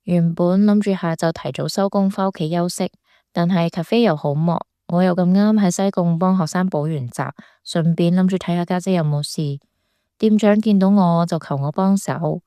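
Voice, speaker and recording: A woman with a Hong Kong Cantonese accent acting a gentle, shy younger sister confiding in a radio drama. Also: on the low side, normal speed, clear, resonant tone, dry recording, clean studio sound, natural voice. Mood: light, confessing. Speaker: voice actor.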